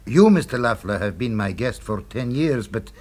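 An actor playing a suave, formal restaurateur in a 1970s radio drama, with measured, ingratiating speech. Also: male voice, medium pitch, lively intonation, a little mellow, natural voice. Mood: courteous, smooth. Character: suave, courteous male restaurateur, commanding with staff.

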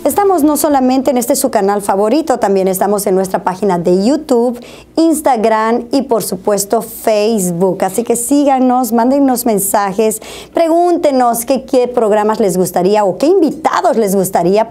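A woman's voice: on the high side, warm tone. A middle-aged woman, hosting an interview in a TV studio. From Bolivia. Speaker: TV show host. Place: small indoor TV studio.

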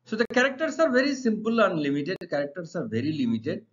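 A middle-aged man with an Indian accent, teaching English to students in deliberate, emphatic, repetitive phrases. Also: sits high, wildly swooping pitch. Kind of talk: exam lesson explained to students. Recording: dull, lo-fi sound.

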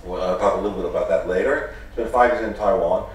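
A middle-aged professor raised in the Netherlands lectures at a podium microphone, earnest and halting, often restarting his phrases. His voice sits fairly low. The sound is very echoey and a bit distant, with a faint murmur of the room behind him. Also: a little hiss or hum. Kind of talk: formal lecture, unscripted. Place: large lecture hall with audience. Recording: echoey hall, picked up from a distance.

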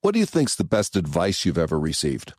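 A man who practices criminal defense law, hosting a podcast and asking guests questions in a measured, plain way. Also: low-pitched, huge pitch swings, darkish tone, breathy edge, no echo, clean studio sound. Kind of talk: interview questions.

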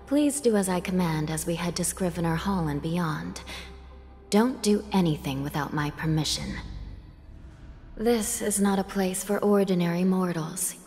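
A stern young underworld judge speaks in a fairly low, measured and formal voice. At times it sinks into a soft, breathy near-whisper. Game music plays clearly behind her, and a long reverb trails after her words. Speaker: game character. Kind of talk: video game voice acting.

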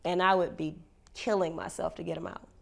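A woman's voice: fairly low pitch, steady tone, brisk, gravelly. A woman in her forties, a homeschooling parent, speaking emphatically in an interview.

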